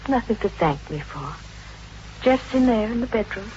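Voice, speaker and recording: An actress in a 1950s radio drama playing a distraught, bitter wife who pleads and broods, repeating herself. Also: female voice, mid-range voice, expressive, moderate pace, darkish tone, natural voice. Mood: weary, bitter. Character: distraught, bitter wife guarding her beloved dog. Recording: noisy, dull vintage radio recording.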